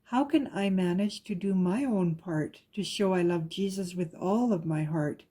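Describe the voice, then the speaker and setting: A woman reading a rhyming children's picture book aloud with a measured, sing-song delivery. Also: lowish voice, dark tone. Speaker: guest story reader.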